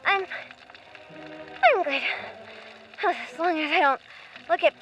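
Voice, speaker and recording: A woman's voice: very high-pitched, lively intonation, slow pace, a bit bright, highs cut off, soft background music, full character voice. A woman playing an anxious but sensible young girl castaway in a radio play, urgent and bossy. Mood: alarmed.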